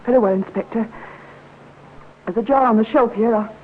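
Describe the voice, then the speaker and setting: An actor playing a dutiful police sergeant in a radio drama, deferential and a little hesitant. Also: male voice, high voice, fairly quick, close to an everyday voice. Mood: dutiful, uneasy. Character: dutiful male police sergeant assisting his inspector. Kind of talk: 1950s radio drama acting. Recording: narrow, clearly noisy vintage radio recording.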